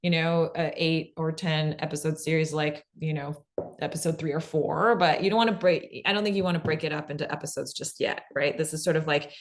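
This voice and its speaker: Low voiced woman explaining stuff casually, lots of like and right?. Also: steady tone, fairly quick. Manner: conversational, peppered with "like" and "right?" check-ins. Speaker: co-presenter of a fiction podcast pitching webinar. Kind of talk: informal instructional talk.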